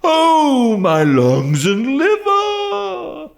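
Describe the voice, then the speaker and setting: A man's voice: very high-pitched, big pitch swings, very slow, creaky, pitched above the performer's own voice, full character voice. A man voicing a crazed, drunken old shopkeeper in an audiobook, crying out in a frantic singsong that rises and falls. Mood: frantic haggling. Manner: wailing exclamations in a rising and falling singsong gust.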